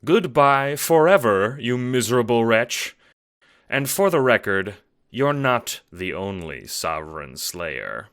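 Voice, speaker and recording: A mild yet smug mastermind for an audio drama, performed by a man. He is formally polite and condescending, lifting his voice above its natural depth with a bright, swinging delivery. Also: medium pitch, sing-song, slow, clean tone, pitched above the performer's own voice, heavily acted. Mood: contemptuous. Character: Scratch, mild smug cue-ball-headed male puppet master.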